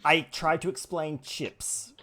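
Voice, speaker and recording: A man's voice: a little high, steady tone, slow, a crowd in the background. A man with an Australian accent, a variety streamer and player, chatting casually in a playful, self-mocking way. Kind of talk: casual chat.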